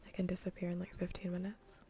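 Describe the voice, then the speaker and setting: A woman livestreamer chatting casually in ASMR style while gaming, soft, breathy and often whispering, with a playfully scolding manner. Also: fairly low pitch, completely flat delivery, fast talker, slight background noise.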